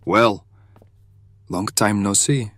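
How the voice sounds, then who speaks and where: A man plays a calm, caring friend in an audio drama. His voice is low and conversational, reassuring, recorded very dry. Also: very slow, no echo.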